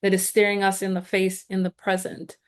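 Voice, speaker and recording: A woman from Guyana, a guest speaker, answering a question on a call in an earnest, measured, explanatory way. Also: mid-range voice, monotone. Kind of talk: lecture-style answer on a video call.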